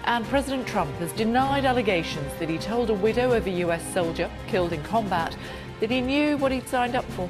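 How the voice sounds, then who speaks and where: A woman presenting the news in a television studio, reading headlines in a measured, formal way before introducing a debate. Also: fairly high pitch, expressive, music in the background.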